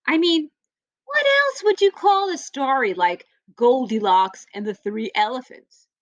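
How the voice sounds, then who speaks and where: A woman with a fairly high voice reads to children in an expressive storybook style. Her pitch swings widely, with playful emphasis and stretched-out phrases. The sound is very dry, with little room echo. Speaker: teacher or school librarian reading aloud.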